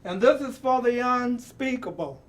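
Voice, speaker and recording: Slow, high-pitched man reading aloud expressively. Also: lively intonation. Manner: deliberate, emphatic, with repeated phrases and dramatic pauses. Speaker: storybook reader and presenter. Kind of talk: expressive read-aloud of a picture book with personal asides.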